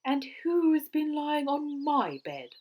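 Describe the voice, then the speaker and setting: A woman's voice: high voice, sing-song, slow, lo-fi sound, voice pushed up, full character voice. A woman voicing a cross, scolding Mother Bear in a children's storybook, with emphatic indignant questioning.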